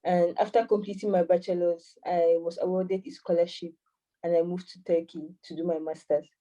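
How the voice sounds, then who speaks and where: A woman's voice: on the low side, flat delivery, moderate pace, warm tone, recorded from a distance, highs cut off. A woman in her twenties from Cameroon, an AI mentor answering interview questions hesitantly with many fillers and restarts. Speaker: AI mentor and master's student.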